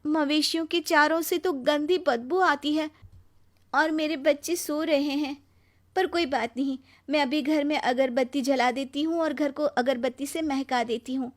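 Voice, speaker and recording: A woman's voice: high-pitched, fairly even intonation, unhurried, pitched above the performer's own voice. A woman voicing a poor, devout and kind-hearted young mother in a moral story, speaking in an earnest, humble way. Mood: polite, reluctant. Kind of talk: Hindi moral storybook acting.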